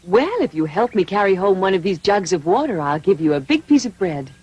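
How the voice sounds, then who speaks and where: A woman's voice: mid-range voice, very animated, a little fast, darkish tone, breathy edge, lo-fi sound. A woman voicing a kindly, motherly lady in a dubbed cartoon, generous and persuasive in manner. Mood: coaxing. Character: kindly, coaxing motherly lady offering food.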